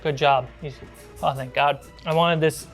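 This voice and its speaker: A woman's voice: sits low, fairly even intonation, moderate pace. A woman running a tabletop role-playing game as game master, mixing scene narration with casual, playful table talk. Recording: music behind the voice. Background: music.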